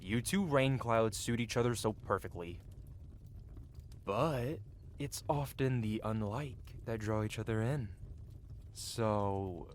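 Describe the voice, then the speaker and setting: A man's voice: medium pitch, a little hiss or hum, close to an everyday voice. A man playing a cocky, flirtatious medieval blacksmith in a fantasy ASMR roleplay, with a playful, teasing and theatrical way of talking.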